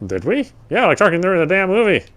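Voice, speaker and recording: A man's voice: high voice, huge pitch swings, fast, a bit bright. A man doing a let's play, reading game text aloud and adding casual, sardonic commentary. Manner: sardonic, informal. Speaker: let's player.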